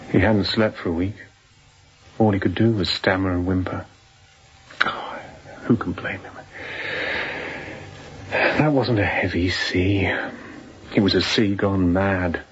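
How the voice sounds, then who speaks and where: Low-voiced, intense fugitive sailor. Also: male voice, takes it slowly, pauses often. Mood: fierce, insistent. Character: intense fugitive ship's officer in hiding, fierce. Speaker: actor in a sea drama. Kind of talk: radio drama acting. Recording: dull, muffled sound.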